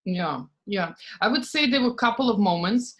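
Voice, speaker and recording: A woman from Estonia, a podcast guest talking casually and acting out her inner thoughts in lively asides. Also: medium pitch, normal speed. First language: Russian. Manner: animated, acts out her own inner voice in quoted asides, frequent "like" and soft laughs. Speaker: podcast guest, spiritual business coach. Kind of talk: casual interview talk.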